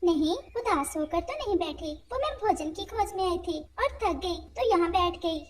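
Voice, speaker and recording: Woman as a gentle, high-voiced mother bird. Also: lively intonation, normal speed. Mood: downcast. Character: patient, sad, devout mother bird.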